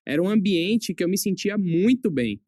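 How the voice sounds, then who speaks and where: Young male podcast guest from northeastern Brazil, relaxed and chatty, voice sits a little high, dry studio sound. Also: normal speed, no room sound. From Brazil, born in Salvador, raised in Aracaju. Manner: relaxed, chatty, laughs easily. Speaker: surgery resident, podcast guest. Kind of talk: casual podcast interview.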